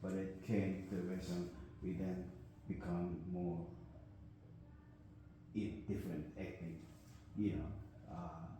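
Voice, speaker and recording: A man's voice: low-pitched, level tone, a little slow, pauses often, dark tone. An older man from Guyana with a Guyanese accent, reflecting in a rambling casual discussion and often asking "you know what I mean?". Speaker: discussion guest. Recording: echoey room, faint noise.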